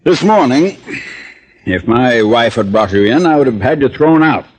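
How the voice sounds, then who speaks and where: A man's voice: medium pitch, lively intonation, moderate pace, slight background noise, close to an everyday voice. An actor playing an irascible, imperious older rich man in an old radio drama, with testy, emphatic delivery. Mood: confiding, grave. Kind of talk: 1940s-50s radio drama acting.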